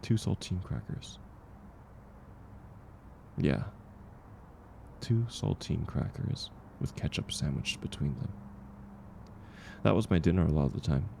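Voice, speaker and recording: A man acting a kind, gentle stranger in an intimate audio roleplay, speaking reassuringly and unhurriedly to the listener. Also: a bass voice, vocal fry, a little hiss or hum, heavily acted. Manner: soft-spoken, reassuring, unhurried. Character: kind, gentle man, once homeless, sharing dinner with a stranger.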